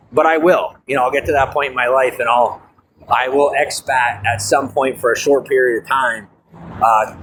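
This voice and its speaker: A man's voice: mid-range voice, slight background noise, rough recording. An American man with a slight Norwegian-tinged accent, rambling casually with many fillers and restarts. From United States. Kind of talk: casual monologue.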